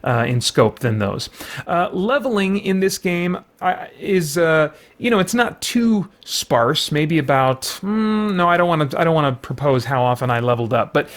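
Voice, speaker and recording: A man with a slightly high voice talks through a game review in a casual, unscripted way. He explains in a conversational manner, qualifying his points as he goes. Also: animated, moderate pace, fairly bright tone. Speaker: host reviewing video games.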